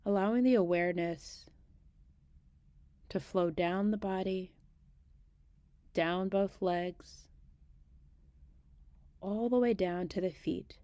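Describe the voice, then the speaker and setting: A woman guiding a meditation in a gentle, unhurried manner, leaving long pauses between short phrases. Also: mid-range voice, no room sound. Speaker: meditation guide. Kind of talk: guided body scan meditation.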